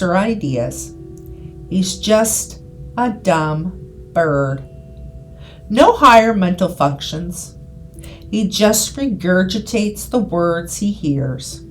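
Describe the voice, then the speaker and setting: A woman reading a scripted guided hypnosis session in a soothing, deliberate manner, with repeated phrases and pauses. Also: mid-range voice. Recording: voice over background music. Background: background music.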